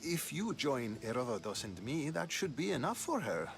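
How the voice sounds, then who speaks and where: A man's voice: medium pitch, very animated, normal speed, vocal fry. An actor playing an aloof, weary statesman in a video game, with a dry, evasive, theatrical manner. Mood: wry. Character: aloof, weary male statesman hosting a party. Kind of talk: video game dialogue acting. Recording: music behind the voice.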